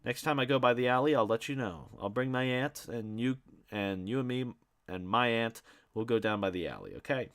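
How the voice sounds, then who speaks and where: A man's voice: mid-range voice, animated, normal speed, fairly bright tone. A man voicing a meek, earnest young patrolman, talking plainly and apologetically.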